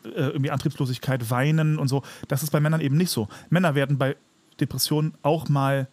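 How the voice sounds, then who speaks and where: A man's voice: fairly high pitch, steady tone, fast talker, darkish tone, dry recording. A man co-hosting a podcast in casual chat, speaking emphatically and repeating words for emphasis. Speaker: podcast co-host.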